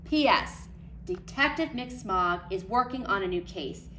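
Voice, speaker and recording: Expressive woman reading a storybook, roomy sound. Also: medium pitch, unhurried. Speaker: nursery school teacher. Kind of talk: children's storybook read-aloud. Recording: roomy echo, faint background noise.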